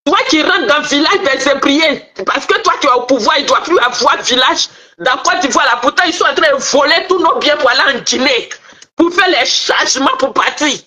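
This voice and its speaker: A woman's voice: sits high, a little fast, bright, forceful tone. A middle-aged woman from Ivory Coast with an Ivorian French accent, scolding and taunting in a heated political rant, nose stuffy from a cold. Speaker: online commentator. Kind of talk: angry political rant to viewers.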